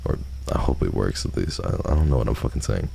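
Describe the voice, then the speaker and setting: Very deep, gravelly male streamer. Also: expressive, quick pace, dark tone. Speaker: livestream host. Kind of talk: casual livestream chat, reading and answering viewer messages. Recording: faint background noise.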